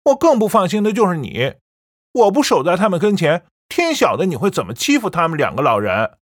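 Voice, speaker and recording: A woman's voice: on the low side, big pitch swings, fairly quick, dry recording, clean studio sound. A woman voicing a defiant young man who talks back to his mother in a cold, scornful, lecturing way. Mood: stubborn.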